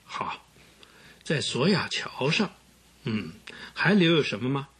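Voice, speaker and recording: An actor playing a cold, skeptical prosecutor who speaks gravely in a radio drama. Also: male voice, medium pitch, big pitch swings, takes it slowly, fairly bright tone, exaggerated voice. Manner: grave, clipped, questioning.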